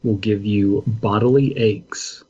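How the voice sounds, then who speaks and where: A man's voice: on the low side, steady tone, slow pace, warm tone, breathy edge. A man co-hosting a podcast, chatting casually and reading aloud on a dull, lo-fi microphone. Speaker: podcast co-host and narrator.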